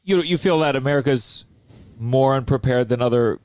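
A man's voice: medium pitch, normal speed, darkish tone, smooth, no echo. A man in his thirties hosting a podcast, asking questions in a curious, easygoing way. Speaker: podcast host. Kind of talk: casual interview chat. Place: small living room podcast set. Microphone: handheld mic, headphones.

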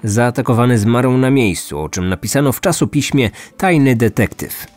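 A man tells a scripted true-crime story as a podcast host. His voice sits at an average pitch, with music running underneath. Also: animated, normal speed, a little mellow.

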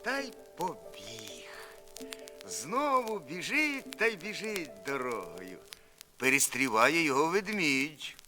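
A man narrating a children's fairy tale as an expressive, theatrical folk storyteller. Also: a little high, takes it slowly. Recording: vinyl record transfer with music.

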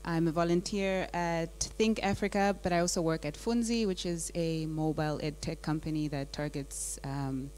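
Soft-spoken woman, lowish voice. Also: steady tone, moderate pace, few pauses, a little hiss or hum. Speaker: volunteer. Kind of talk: self-introduction. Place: meeting room. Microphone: handheld mic.

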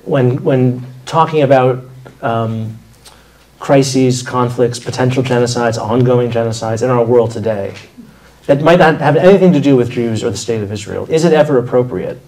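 A man's voice: mid-range voice, normal speed, darkish tone, rough recording. A man in his thirties moderating a panel, asking long, articulate questions with small restarts. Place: formal room with audience. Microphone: table microphone.

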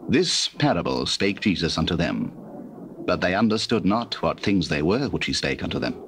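Low-pitched, animated male Bible narrator. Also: normal speed. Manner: formal, storytelling. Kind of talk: scripted dramatized Bible narration. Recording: noisy old cassette.

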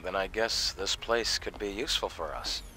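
An actor playing a calm, encouraging young male survivor in a game cutscene, steady and reassuring, with game music behind. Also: sits low, normal speed. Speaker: companion character.

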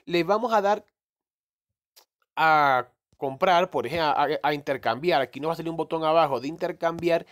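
A man's voice: on the high side, moderate pace, fairly bright tone. A man casually talking through a phone screen recording, rambling and correcting himself as he explains a crypto game. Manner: rambling, self-correcting, mild swearing. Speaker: crypto gaming youtuber.

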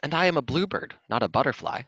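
A man's voice: a little high, animated, fast, fairly bright tone, no echo, muffled recording, pitched above the performer's own voice. A man voicing an indignant, emphatic male bluebird in a children's storybook. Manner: correcting, emphatic.

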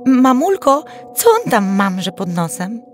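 A woman voicing a lively, impatient young village girl in love in a folk tale, calling out and exclaiming. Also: a little high, slow pace, soft background music, voice pushed up. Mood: puzzled.

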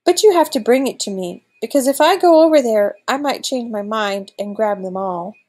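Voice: mid-range voice, expressive, moderate pace, darkish tone, natural voice. A woman voicing a cunning, grumbling old male wolf in a storybook. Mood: sly.

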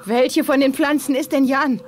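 An actress playing an alert, plucky adventure heroine in a children's sci-fi radio drama, earnest, brisk and dramatic. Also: female voice, high voice, fairly even intonation, slight background noise, natural voice. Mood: tense.

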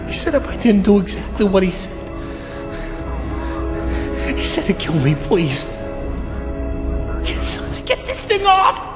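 A man's voice: sits high, wildly swooping pitch, unhurried, pauses often, exaggerated voice. An actor playing a terrified, pleading male hostage, a corrupt official, in an audio drama. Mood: panicked pleading. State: panicked, pleading. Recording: big reverberant hall, music behind.

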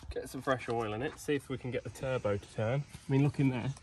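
Young guy, casual self-talk vlog, average pitch, noisy night air behind. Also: fast talker, darkish tone. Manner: chatty, cheeky, thinking aloud while working. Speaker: vlogger mechanic. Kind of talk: casual workshop narration. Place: outdoors at night by an old digger.

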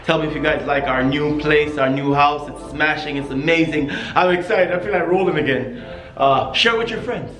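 A man's voice: fairly high pitch, moderate pace, a bit bright. A man in his thirties, a father joking around on a casual house-tour vlog, filmed on a handheld camera. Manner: joking, playful. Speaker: vlogger, father. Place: empty house, indoors. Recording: echoey empty rooms.

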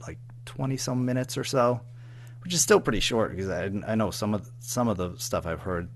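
A man speaking as an interview guest in casual chat, hesitant, halting and self-effacing as he talks about his own work. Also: on the low side. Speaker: guest, audio drama writer and producer.

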